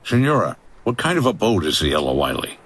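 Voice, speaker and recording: A gruff American adventurer of the 1950s, played by an actor, with a deep voice. He is dry and wry, delivering lines like an old radio detective. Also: big pitch swings, a little fast, a bit bright, exaggerated voice. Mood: probing. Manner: dry, hardboiled.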